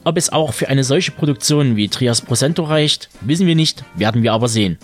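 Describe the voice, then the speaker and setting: A man's voice: medium pitch, animated, a little fast. A man hosting a podcast, reading scripted series news in a wordy, tongue-in-cheek way. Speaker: podcast host. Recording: music bed under the voice. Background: music.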